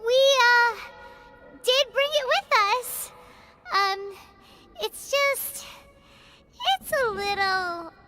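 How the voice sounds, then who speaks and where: A woman voicing a tiny, childlike, excitable and cheeky female companion creature in a video game, with a squeaky voice. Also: very slow, long pauses, over music, full character voice. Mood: nervous. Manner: refers to herself in the third person, exclamatory. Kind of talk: video game character acting.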